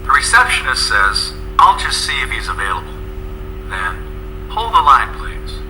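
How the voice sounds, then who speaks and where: A man narrating a scripted English lesson in a measured, explanatory way, as a presenter. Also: medium pitch, sing-song, sharp tone, a little hiss or hum, poor audio.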